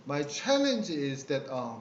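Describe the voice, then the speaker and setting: A middle-aged man with a Korean accent, a pastor telling stories in a halting way with many fillers and laughs. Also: a little high, very animated, a little hiss or hum. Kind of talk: casual conversational storytelling. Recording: roomy, dull video-call audio.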